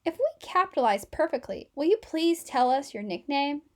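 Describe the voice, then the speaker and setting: Woman as an eager, cheeky, high-voiced schoolkid. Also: expressive, normal speed, a little mellow.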